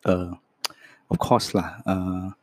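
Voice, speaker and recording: A man in his fifties with a Hong Kong Cantonese accent, a Cantonese native speaker, hosting his first podcast and slowly reading a self-introduction script, soft and halting with many fillers. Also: sits low, flat delivery, dry recording. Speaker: podcast host.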